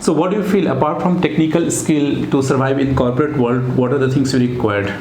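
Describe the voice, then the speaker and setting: A man's voice: mid-range voice, brisk, a little mellow. A man with an Indian accent, speaking as a voice therapist conducting a formal case interview. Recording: echoey room, faint background noise.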